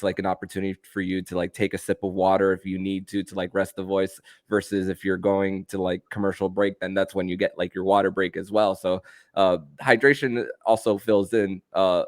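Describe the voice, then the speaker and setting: A man's voice: sits low, no echo, clean studio sound. A man speaking as the host of a sports podcast. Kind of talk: podcast interview.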